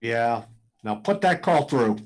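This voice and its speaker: Man playing a desperate, threatening pilot, mid-pitched. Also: lively intonation, slow pace, very noisy. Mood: grim demanding. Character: desperate male pilot threatening to blast the base. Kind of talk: live radio drama acting.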